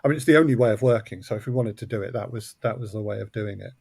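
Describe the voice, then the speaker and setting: A man's voice: on the low side, racing, creaky, a little mellow, slightly rough, no room sound, studio quality. A man speaking as an interview guest, explaining his production work in a conversational way. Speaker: audio drama co-creator and producer, interview guest.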